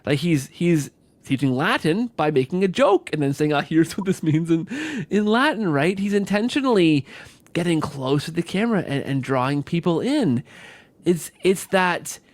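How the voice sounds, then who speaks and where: A man's voice: fairly high pitch, animated, normal speed, no room sound. A man hosting a conversational podcast interview, circling and rephrasing his phrases as he builds a point. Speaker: podcast host.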